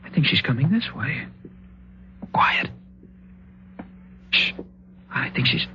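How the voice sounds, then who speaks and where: An actor playing a practical, skeptical but jumpy young husband in a 1950s radio suspense drama, talking in halting, brisk phrases. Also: male voice, fairly high pitch, big pitch swings, pushed and bright, heavily acted. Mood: tense, hushed. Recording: muffled, noisy old radio recording.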